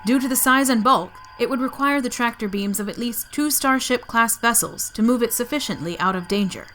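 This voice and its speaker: A woman's voice: a little high, a little fast, sharp tone, slight background noise. A woman playing a coolly logical starship science officer in a sci-fi audio drama, speaking formally and precisely. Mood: cool, composed.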